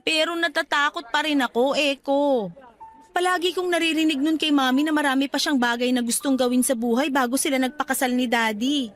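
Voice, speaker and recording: A woman's voice: high-pitched, brisk, a bit bright, soft background music. A woman playing an earnest, anxious young career woman in a radio romance drama, speaking in a pleading, emotional way.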